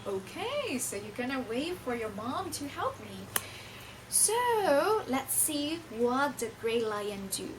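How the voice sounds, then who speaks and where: A woman's voice: sits high, sing-song, takes it slowly, noisy background. A woman who is a non-native English speaker reads a picture book to children theatrically, teacherly, asking and answering her own questions. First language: not English. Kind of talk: animated children's read-aloud with questions to listeners.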